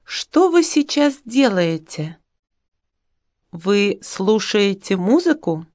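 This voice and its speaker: A woman playing a friendly young female student named Luda in a language-course dialogue, reading in a clear, even way. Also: medium pitch, slow, dry recording, clean studio sound. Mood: plain.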